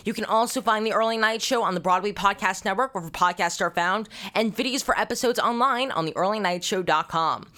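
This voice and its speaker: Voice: mid-range voice, fairly quick, few pauses, pushed and bright. A show host giving a scripted sign-off with thanks and plugs. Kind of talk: scripted show outro, thanking guests and promoting.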